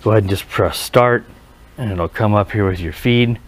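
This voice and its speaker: Man, lowish voice, casual product review, halting and self-correcting, recorded outdoors on a head camera. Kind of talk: casual hands-on review commentary. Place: outdoors in a residential driveway. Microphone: head-mounted action camera.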